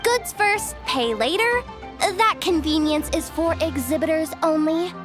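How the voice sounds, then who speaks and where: A woman playing an excitable, easily panicked young guild worker in a video game, with an expressive, dramatic delivery. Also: very high voice, measured pace, music in the background. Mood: puzzled. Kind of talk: animated video game voice acting.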